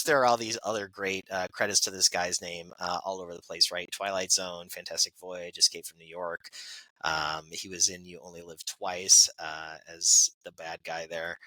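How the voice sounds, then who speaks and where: A man's voice: fairly low pitch, bright, forceful tone, no room sound, poor audio. A man co-hosting a podcast, reading out birthday notes in a chatty way with fillers and asides. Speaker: podcast co-host. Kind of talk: casual birthday segment reading.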